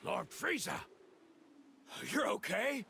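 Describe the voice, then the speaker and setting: A man's voice: very high-pitched, big pitch swings, takes it slowly, creaky, sharp tone, slight background noise, full character voice. A man playing a fawning, theatrical alien henchman in an anime fighting game, servile to his master and bombastic. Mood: alarmed, loyal. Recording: music behind the voice.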